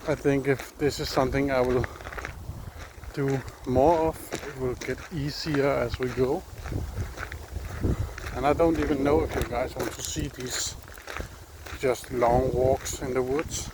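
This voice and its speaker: A Danish man speaking English in a slow, unhurried way, pausing often to find his words as he thinks aloud. He is recorded outdoors on a handheld phone along a forest trail, with clear background noise under his voice. His pitch sits in an ordinary middle range. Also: steady tone. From Denmark. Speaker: vlogger. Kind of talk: casual walking vlog.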